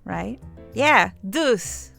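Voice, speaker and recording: A woman's voice: medium pitch, lively intonation, very slow, vocal fry, fairly bright tone, faint music behind. A young woman chatting casually as a game streamer, rambling and swearing now and then; her native language is Samayach. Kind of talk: casual gaming stream chat.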